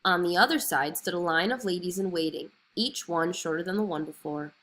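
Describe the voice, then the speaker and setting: A woman reading a children's fairy tale aloud as an expressive storyteller. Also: fairly low pitch, brisk. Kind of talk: expressive scripted story narration.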